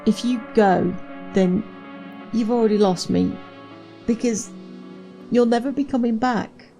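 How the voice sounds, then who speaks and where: An actress playing an anxious, devoted young wife in a dystopian audio drama, pleading and earnest. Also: female voice, fairly high pitch, unhurried, creaky, warm tone. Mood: anguished. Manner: pleading, earnest, old-fashioned phrasing. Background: music.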